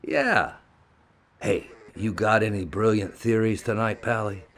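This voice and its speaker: An actor playing a sarcastic, taunting police detective in a 1950s-style radio drama. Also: male voice, lowish voice, steady tone, measured pace, fairly bright tone. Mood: mocking. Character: mocking, bullying 1950s cop who loves his jokes. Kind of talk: old-time radio drama acting.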